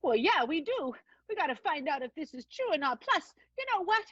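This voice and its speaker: A woman's voice: high-pitched, sing-song, brisk, a bit bright, no room sound. A woman playing a plucky, gutsy young vaudeville performer with perky, emphatic old-time talk in an improvised radio play, on a dull, dry recording. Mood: determined.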